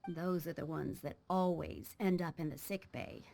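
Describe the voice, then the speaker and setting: A voice actress playing an earnest, professional female ship's surgeon in a video game, explaining things in a measured, cautioning way. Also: sits low, steady tone, creaky, mellow. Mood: grave, cautioning. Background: background music.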